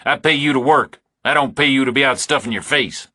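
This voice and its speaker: A man's voice: medium pitch, a little fast, pushed and bright, no room sound, clean studio sound, pitched above the performer's own voice. A man voicing an angry, impatient former colonel who barks scornful questions in a thriller audiobook. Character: commanding, angry ex-colonel boss, bitter and impatient.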